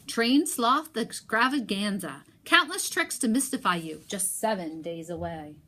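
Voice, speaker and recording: A woman's voice: mid-range voice, lively intonation, unhurried, pushed and bright. Storybook role: earnest child pet trainer played by a woman, eager showman, emphatic and commanding. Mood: proclaiming.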